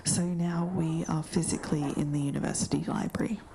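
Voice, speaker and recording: A woman with an Australian accent whispering podcast narration in a quiet library. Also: low voice, steady tone, a little fast, noisy background. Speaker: podcast co-host.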